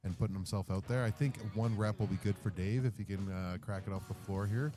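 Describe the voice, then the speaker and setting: Low-voiced man calling a strongman event. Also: fairly quick. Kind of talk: live play-by-play commentary. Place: outdoor strongman event at a fair. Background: guitar music.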